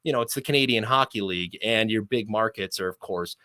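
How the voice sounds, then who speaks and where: A man with a fairly low, bright and forceful voice talks as a guest on a sports show. He is a chatty storyteller who rolls from one anecdote to the next in casual, announcer-like fluency. The recording is dry and close. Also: fairly quick, no room sound. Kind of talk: casual anecdotal interview talk.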